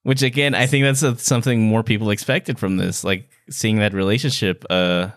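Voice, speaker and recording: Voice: medium pitch, moderate pace, no room sound. Casual podcast co-host, very dry sound. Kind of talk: casual book discussion.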